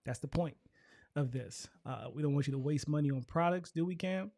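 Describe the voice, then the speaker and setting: A man's voice: fairly high pitch, brisk, dark tone, no echo. A man hosting a live show in a casual, colloquial, chatty way. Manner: informal, colloquial. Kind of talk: casual livestream chat.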